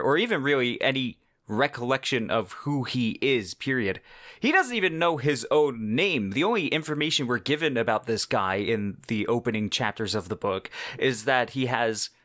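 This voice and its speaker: An enthusiastic male podcast host talks through a scripted book review in a relaxed, chatty way. His voice sits at an ordinary middle pitch. The recording is dry and close, with no room sound. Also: no room sound. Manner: enthusiastic, conversational, with casual asides.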